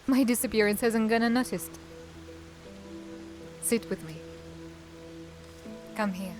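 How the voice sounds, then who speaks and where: A woman playing a wry, guarded dark elf assassin in a cinematic fantasy drama, with a musing, teasing manner. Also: fairly high pitch, takes it slowly, soft background music. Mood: playful.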